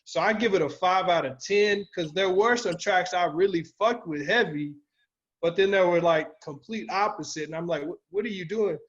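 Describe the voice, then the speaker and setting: Male panelist with a notably high voice, exclamatory, sweary review banter, dull lo-fi podcast sound. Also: moderate pace. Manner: blunt, heavily profane, slangy, exclamatory. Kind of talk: casual album review banter.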